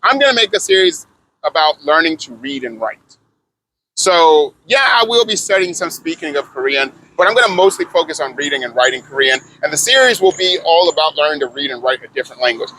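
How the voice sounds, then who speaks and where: A man rambles through a casual vlog in a bright, forward voice pitched a little high. He's slangy and upbeat, full of fillers and self-corrections. A faint hiss of noise sits under the recording. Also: poor audio. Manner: loose and conversational, lots of fillers and self-corrections. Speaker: language-learning vlogger.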